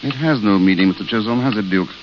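An actor as a world-weary, eloquent romantic idealist. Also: male voice, fairly low pitch, lightly acted. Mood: wry, polite. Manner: courteous, literary, measured. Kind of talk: 1930s-40s radio drama acting. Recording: hissy, dull old radio recording.

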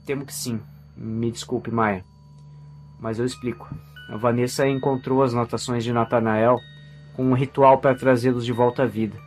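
An actor with a Brazilian accent playing an earnest, scornful hunter of necromancers in an audio drama, grave and explanatory. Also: male voice, medium pitch, little pitch movement, normal speed, a little mellow, soft background music, natural voice. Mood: regretful, grave.

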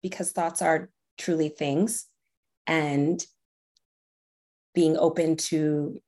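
A woman's voice: low-pitched, dark tone. Encouraging woman, podcast guest. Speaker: podcast guest, corporate strategy director. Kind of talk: casual podcast interview answers.

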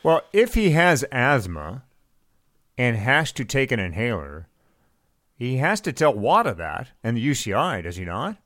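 A man with a mid-range voice co-hosts a casual podcast chat. He thinks out loud and poses questions to the others. The sound is dry and close. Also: medium pitch, sing-song, measured pace, no room sound.

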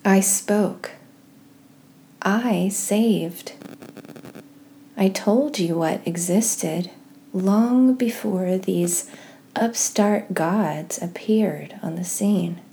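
A woman leading a guided meditation and scripture reading in a gentle, soft-spoken, measured way with pauses. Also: mid-range voice, gravelly. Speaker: host of a guided prayer podcast.

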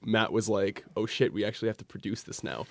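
A young man with a Canadian accent chatting casually as a podcast guest, rambling and halting in a jokey way. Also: on the low side, creaky. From Alberta, Canada. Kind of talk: casual chat.

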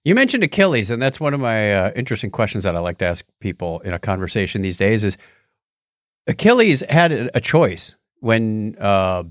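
Lighthearted American man with animated pitch. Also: brisk, no echo, studio quality. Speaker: podcast co-host. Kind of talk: scripted show opening and casual chat.

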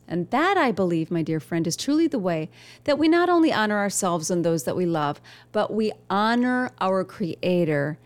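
A woman talks straight to her listeners in a reflective solo podcast, her voice a bit thin and stuffed-up, nasal from a lingering cold. She leans on repetition and gentle rhetorical questions, with a steady, mid-pitched tone. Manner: earnest, warm encouragement with repeated phrases. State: slightly weak, nasal voice from illness. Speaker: podcast host.

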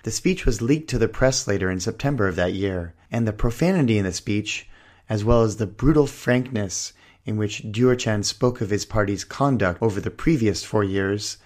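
A man hosting a politics podcast, reading a scripted intro with a hoarse voice, then interviewing a guest. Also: on the low side, normal speed, hardly any pauses, darkish tone. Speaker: podcast host.

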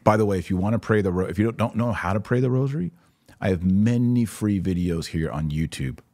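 Deep-voiced man giving emphatic live commentary. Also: brisk, darkish tone. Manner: opinionated, emphatic, repeats phrases for emphasis. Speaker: podcast host and religious commentator.

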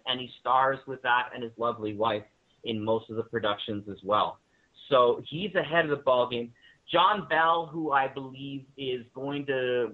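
Man on a thin phone-like line. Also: medium pitch. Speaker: guest, radio drama maker. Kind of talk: enthusiastic panel interview talk.

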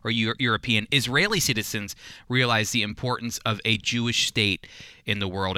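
A man hosts a radio program with a bright, forward tone that carries well. He talks earnestly, stumbling and restarting now and then. His voice is close and dry, with no room sound. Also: mid-range voice, clean tone, no echo. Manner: earnest, with frequent restarts and fillers. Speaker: radio show host and teacher. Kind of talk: radio interview and commentary.

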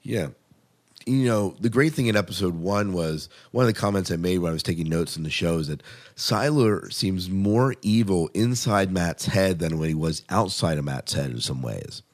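A man with a fairly deep voice hosts a casual review podcast. He talks in a conversational way, like someone steering a relaxed chat with a co-host. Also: moderate pace.

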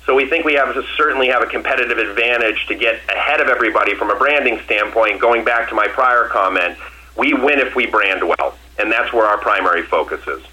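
A man's voice: lowish voice, fairly quick, sharp tone, rough recording. A man from Massachusetts, a company executive answering questions on an earnings call in a confident, emphatic way, often restarting and repeating phrases.

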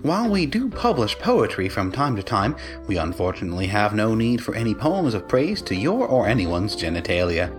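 A man reading a scripted formal letter as an editor, with a deadpan, officious manner. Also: on the low side, normal speed, few pauses, music in the background. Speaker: managing editor.

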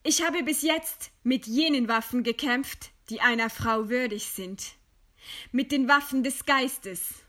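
A woman's voice: on the high side, unhurried, pushed and bright. An actress playing a proud, scornful woman intellectual defying her executioner in eloquent, theatrical radio play declamation. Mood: bitter, mocking.

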